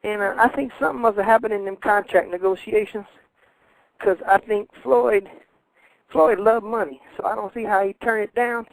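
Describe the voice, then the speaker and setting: A man's voice: sits high, fairly even intonation, normal speed, gravelly, dry recording, rough recording. A man in his fifties calling in to a radio show, rambling in a meandering way with nonstandard grammar. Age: 50s. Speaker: caller. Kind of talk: rambling radio call-in chat.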